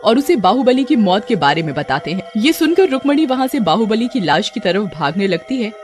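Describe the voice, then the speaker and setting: Animated woman narrating a story over music. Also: medium pitch, a little fast. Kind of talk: scripted dramatic storytelling narration. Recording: music under the voice. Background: background music.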